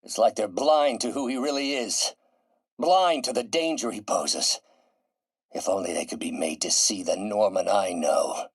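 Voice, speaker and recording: A man playing a brilliant, embittered middle-aged scientist turned villain in a video game, speaking in a theatrical, deliberate, articulate way with a husky, breathy voice. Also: deep voice, full character voice. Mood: bitter outrage. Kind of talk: dramatic video game monologue.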